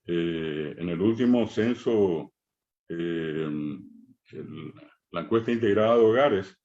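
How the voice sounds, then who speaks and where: A middle-aged Paraguayan man with a fairly low voice and a Paraguayan Spanish accent gives a conference lecture. He grew up speaking Guarani first and talks in a measured, emphatic way, pausing on hesitations. The sound is dull and lo-fi. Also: a little mellow. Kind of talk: academic conference talk.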